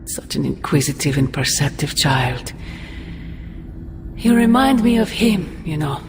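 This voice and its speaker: A woman playing an ancient demonic sorceress mother in a game cutscene, menacing and mocking, with a grandiose, deliberate delivery. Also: low-pitched, animated, noisy background. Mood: wistful, imperious. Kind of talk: dramatic video game cutscene acting. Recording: long cavernous reverb under loud music.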